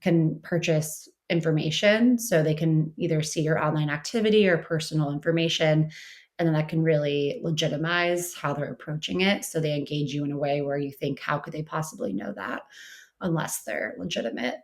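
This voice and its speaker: A woman's voice: sits low, moderate pace. A woman speaking as an interviewed expert, explaining conversationally with frequent "you know" and "kind of". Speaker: interviewed researcher and writer.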